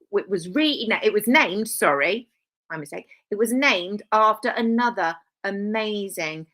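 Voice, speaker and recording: A woman's voice: on the low side, pushed and bright. A woman hosting a live stream, talking casually with dry sarcastic asides and rambling self-corrections.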